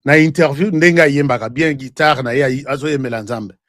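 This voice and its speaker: A man's voice: mid-range voice, normal speed, a bit bright, no echo, clean studio sound. A man with a Congolese accent giving heated political commentary, hammering points with repeated words and rhetorical questions. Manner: emphatic, repeats himself, asks rhetorical questions; switches between French and Lingala. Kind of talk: political commentary with played clips.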